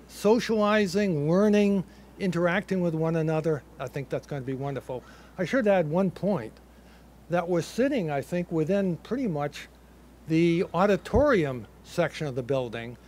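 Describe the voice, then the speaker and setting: An older man with a Boston accent giving a measured, reflective ceremony speech from a podium, with a light touch of humor. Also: a little high, expressive, a little mellow. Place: ceremony in a building under construction.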